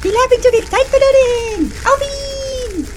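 A man's voice: very high-pitched, a little slow, noisy background. A man voicing a bossy little villain who barks orders in a comic Christmas audio drama. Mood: aggressive.

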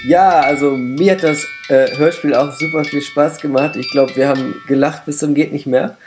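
A man's voice: on the high side, fairly even intonation, normal speed, over music. A man chatting casually as a member of an amateur audio play cast. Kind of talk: casual chat and amateur radio-play acting.

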